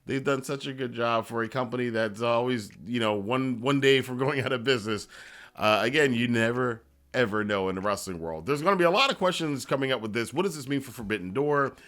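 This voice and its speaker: A man's voice: medium pitch, lively intonation, fairly quick, a bit bright. A man hosting a wrestling review, giving casual, conversational commentary with rambling asides and emphatic repetition.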